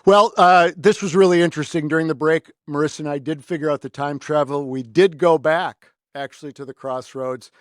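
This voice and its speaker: A man's voice: fairly high pitch, a little fast, few pauses, dry recording, clean studio sound. An American man hosting a casual interview chat, talking in an informal, jokey way. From US Midwest. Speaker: podcast host.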